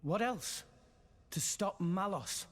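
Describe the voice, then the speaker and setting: A man's voice: high-pitched, lively intonation, slow pace, fairly bright tone, exaggerated voice. An actor playing an earnest, plucky teenage boy salvager in a scripted video game drama, plain-spoken in manner. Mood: determined.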